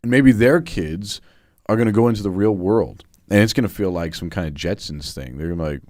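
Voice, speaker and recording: A man co-hosting a casual livestream, tossing out wry jokes. His voice sits very low. The recording is dry and close. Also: a little fast, a little mellow, no echo. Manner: joking, wry asides. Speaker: co-host.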